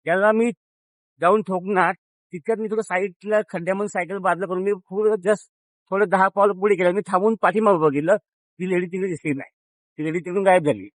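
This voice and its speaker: A middle-aged man, informally telling a personal story in a halting, plain, step-by-step way. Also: sits high, fairly even intonation, no echo, low-quality recording. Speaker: vlogger's father, guest storyteller.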